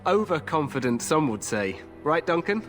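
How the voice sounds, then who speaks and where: An actor playing a confident, glory-hungry young king in a fantasy game, regal, grand and expressive. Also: on the high side, normal speed, faint music behind. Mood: cocky, eager. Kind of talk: fantasy video game acting.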